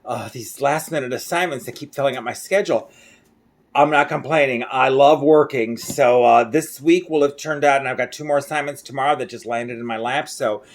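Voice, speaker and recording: A man's voice: medium pitch, brisk. A middle-aged man from Mexico with an American accent, talking casually and a little ramblingly in a daily video diary recorded at home, often laughing at himself. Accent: General American. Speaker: vlogger.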